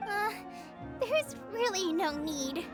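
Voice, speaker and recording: A woman's voice: extremely high pitch, expressive, slow pace, music in the background, full character voice. A woman voicing a timid, anxious, sweet teenage girl apprentice in a video game, speaking hesitantly and earnestly. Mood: shy, reassuring. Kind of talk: video game character voice acting.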